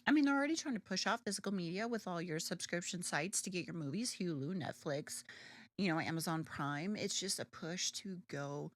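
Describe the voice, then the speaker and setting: Animated low-voiced woman joking around. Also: a little fast. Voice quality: deeper alto voice. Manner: brash, joking, swears freely; animated, lively pitch. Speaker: podcast co-host. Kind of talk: casual chat.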